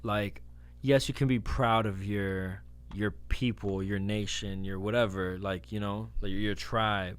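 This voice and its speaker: A man with a slight Spanish-influenced accent, co-hosting a podcast in easygoing casual chat, joking and quick to agree. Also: fairly low pitch, steady tone, clear, resonant tone. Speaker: podcast co-host.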